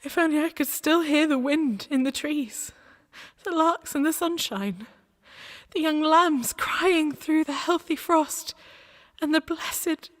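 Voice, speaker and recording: A woman's voice: sits high, slow pace, big-room sound. A woman playing a passionate, pious young peasant warrior in a radio play, plain-spoken and earnest. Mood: wistful. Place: actor's home, live remote recording.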